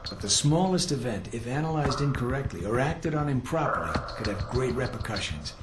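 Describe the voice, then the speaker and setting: A man's voice: medium pitch, lively intonation, a little fast, heavy background noise, natural voice. Dry, condescending analyst played by a man, roomy echo. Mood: measured. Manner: dry, clipped, formal, condescending. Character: curt, condescending male intelligence analyst, coldly precise. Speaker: intelligence analyst and mission handler. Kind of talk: video game voice acting. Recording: roomy echo, loud game music behind.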